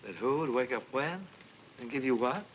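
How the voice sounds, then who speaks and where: A wry, easygoing priest, played by a man, talks in a relaxed way and slips in dry jokes. His voice is mid-pitched and steady. The sound is a dull, old film soundtrack with a faint hiss. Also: normal speed, natural voice.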